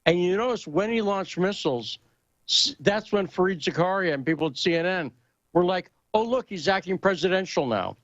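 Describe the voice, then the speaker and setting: A man hosting a call-in talk radio show, his voice sitting fairly high for a man. He talks in a loose, rambling way and throws in joking asides to callers. The sound is dry and a little muffled. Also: lively intonation, moderate pace, a bit bright, no room sound. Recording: muffled, lo-fi radio broadcast audio.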